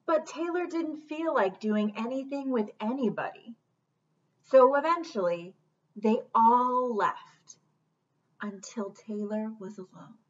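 Casting: woman, 30s, children's storyteller, unhurried and gentle, dull webcam audio. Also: a little high, lively intonation. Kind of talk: picture book read-aloud. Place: small room indoors. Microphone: webcam at about arm's length. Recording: dull webcam sound, distant mic.

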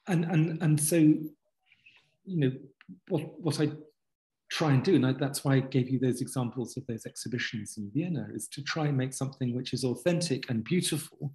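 Fifties male author guest, earnest and halting, video call from home. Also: mid-range voice, dark tone. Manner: halting, many restarts and fillers, earnest. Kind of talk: reflective conversational interview. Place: home study with bookshelves. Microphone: video call webcam.

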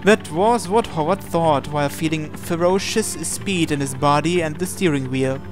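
A man's voice: fairly high pitch, normal speed, music in the background. A man reading visual novel narration aloud, sometimes stumbling over and repeating words as he goes. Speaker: video game player reading aloud.

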